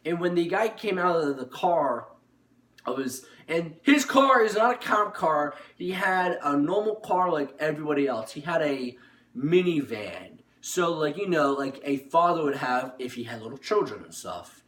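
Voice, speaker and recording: A young man telling a personal story casually and off the cuff, rambling and haltingly, often repeating his words. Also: on the high side, expressive, fairly bright tone. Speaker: online video storyteller. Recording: echoey room, distant microphone.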